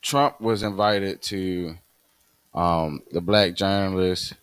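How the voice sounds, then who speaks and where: Low-voiced man, dry close sound. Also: fairly even intonation, clean tone, dry recording. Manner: halting, many fillers and restarts. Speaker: podcast co-host. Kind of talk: casual podcast chat.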